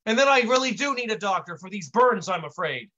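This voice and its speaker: A man's voice: high voice, expressive, a little fast, pushed and bright, pitched above the performer's own voice, exaggerated voice, rough recording. A man voicing an irascible, blustering talent agent boss, with emphatic delivery, in a comic story read aloud. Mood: delighted, excited.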